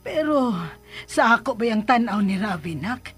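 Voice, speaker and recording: A woman playing a caring, coaxing middle-aged mother who reassures gently in a radio drama. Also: a little high, animated, moderate pace, husky, faint music behind. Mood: reassuring.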